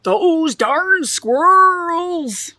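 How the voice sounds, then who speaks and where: A woman voicing a grumpy very old man, who shouts in a loud, slow drawl. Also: extremely high pitch, expressive, no echo, voice pushed up, full character voice. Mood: angry.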